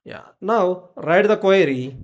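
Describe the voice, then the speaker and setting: A tutorial presenter narrating step-by-step software instructions in short, clipped commands. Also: mid-range voice, animated, takes it slowly, muffled recording.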